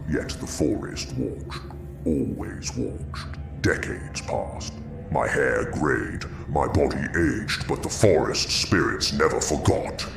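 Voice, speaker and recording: A man voicing a haunted old undead hunter in gothic horror narration, gravelly, brooding and ominous. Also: exaggerated voice. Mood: brooding, regretful. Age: older. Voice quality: gravelly, very deep. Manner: slow, brooding, ominous storytelling. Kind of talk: scripted first-person gothic horror narration. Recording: roomy echo over music. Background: music.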